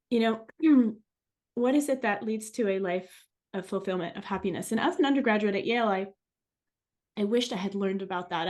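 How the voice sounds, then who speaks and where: A woman's voice: medium pitch, a little mellow. A middle-aged woman from France, a native French speaker, giving an informal, reflective talk as a guest researcher.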